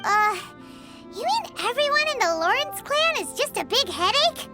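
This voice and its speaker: Tiny chatty sidekick creature, super high squeaky voice, blunt and excitable, loud game music behind. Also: female voice, moderate pace. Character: tiny floating female companion creature, chatty. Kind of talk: game cutscene voice acting.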